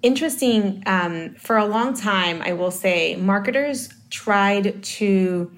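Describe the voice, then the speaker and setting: A woman's voice: mid-range voice, takes it slowly. A woman from the Dominican Republic talking about marketing in a casual conversation, thinking aloud with many fillers and restarts. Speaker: marketing guest.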